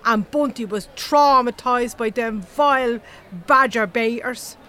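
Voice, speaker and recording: An actress playing a blunt, chatty, gossipy Irish animal-rights activist with an earthy colloquial manner in a comedy audio drama. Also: female voice, fairly high pitch, takes it slowly, bright, forceful tone. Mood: indignant.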